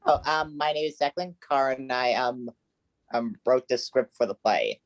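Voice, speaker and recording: A man, a student playwright, speaking in a hesitant, halting way with brief answers. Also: medium pitch, no room sound, highs cut off.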